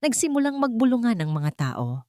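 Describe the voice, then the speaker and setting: A woman's voice: fairly high pitch, very animated, normal speed, a little mellow, no echo, studio quality. A woman reading a scripted romance story as a dramatic narrator.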